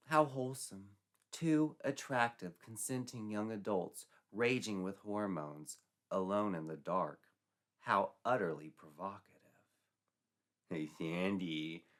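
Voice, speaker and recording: Bright, forceful male storyteller, theatrical. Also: on the low side. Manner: mock-dramatic, arch. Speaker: storyteller, narrator. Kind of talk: theatrical comic scripted narration.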